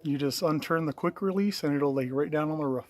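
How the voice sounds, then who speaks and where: A middle-aged man with a fairly high voice and a dry, close sound. He talks casually and matter-of-factly, like a plain-spoken hobbyist walking viewers through his own handiwork on camera. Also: brisk, a little mellow, no room sound. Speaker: DIY installer presenting own work. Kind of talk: casual video walkthrough narration. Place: in and around a parked vehicle.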